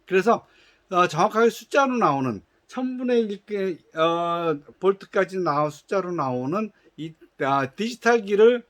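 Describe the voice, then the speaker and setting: High-voiced man explaining a product test. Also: expressive, a little slow, fairly bright tone. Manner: patient, explanatory, with frequent hesitations and restarts; gives instructions in polite formal speech. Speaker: shoe company representative.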